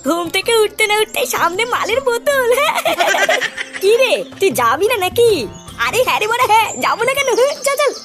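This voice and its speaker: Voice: extremely high pitch, very animated, normal speed, music in the background. A performer voicing a brash, cheeky, foul-mouthed cartoon character in a comic dub, exclamatory and swaggering. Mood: cheeky, excited.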